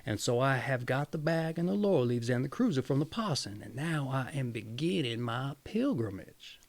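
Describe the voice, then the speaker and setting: A man voicing a gullible, eager peasant husband in a storybook, earnest, excited and long-winded. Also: medium pitch, normal speed, natural voice.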